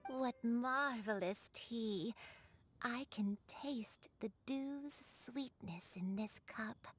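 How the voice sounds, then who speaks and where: A woman voicing a serene elderly grandmother who speaks slowly in a soft, breathy whisper. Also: on the high side, heavily acted.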